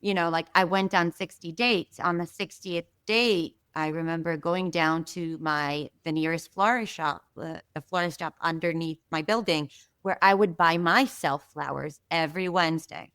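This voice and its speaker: A woman from Israel tells a story as a podcast guest, animated and chatty, with plenty of asides. Her voice is smooth and clear, recorded close and dry. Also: low voice, moderate pace, dry recording. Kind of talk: casual storytelling.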